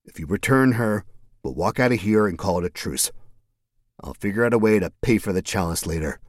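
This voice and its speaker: A man's voice: on the low side, lively intonation, a little fast, no room sound, studio quality. A man voicing a weary immortal who talks in a clipped, commanding way. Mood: tense, commanding.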